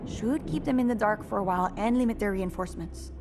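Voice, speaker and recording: A woman's voice: medium pitch, fast talker, noisy background, lightly acted. A woman playing a determined, serious resistance tactician in a video game cutscene, speaking in a firm, businesslike way.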